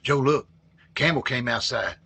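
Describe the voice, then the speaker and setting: An actor playing a wisecracking police partner on a stakeout, dry and weary, in 1940s radio acting style. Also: male voice, medium pitch, moderate pace, creaky, bright, forceful tone, husky, heavily acted. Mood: alert.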